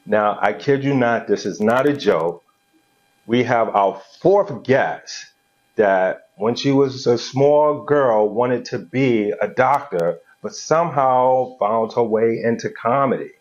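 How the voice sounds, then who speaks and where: A man's voice: medium pitch, slow. A man hosting a casual interview show, laid-back and encouraging, often answering with a short "right". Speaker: podcast host. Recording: dull lo-fi audio.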